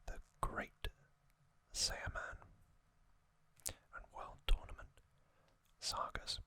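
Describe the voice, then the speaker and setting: Slow, bright ASMR whisperer. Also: dry recording. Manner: soft unvoiced whisper throughout; gentle, measured storytelling. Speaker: ASMR channel host.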